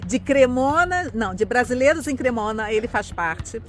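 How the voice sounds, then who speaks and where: A woman's voice: on the high side, animated, moderate pace. A Brazilian woman with a Brazilian accent, hosting a vlog and chatting with her guest while talking straight to her viewers. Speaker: vlog host. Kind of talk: casual interview chat.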